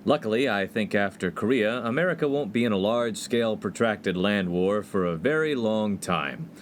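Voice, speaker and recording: A man's voice: lowish voice, normal speed, a little hiss or hum, close to an everyday voice. A man playing a naive, awkward young college freshman in a 1950s radio comedy, earnest and easily flustered. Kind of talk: comedic radio play acting.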